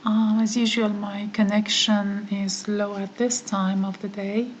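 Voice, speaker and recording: A woman whose first language is Farsi, giving a step-by-step online course tutorial, talking methodically and pausing on "uh" often. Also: medium pitch, flat delivery, slight background noise, low-quality recording. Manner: slow, methodical, with frequent "uh" pauses. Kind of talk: screen-recorded software tutorial.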